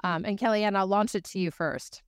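Smooth, dry-sounding American woman host. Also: medium pitch, moderate pace, dry recording. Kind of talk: hosting an interview show.

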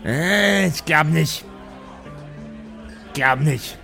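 A man's voice: fairly high pitch, drawn-out words, creaky, pushed and bright, faint music behind, full character voice. A man playing a grumpy, crude working man in a tavern, with a Bavarian accent and a curt way of talking. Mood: gruff.

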